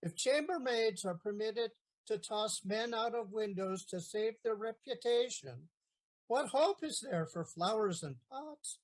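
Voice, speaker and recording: A woman's voice: a little high, animated, unhurried, low-quality recording. A woman acting the part of a stubborn, half-drunk old male gardener in a comic period play. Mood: indignant, wry.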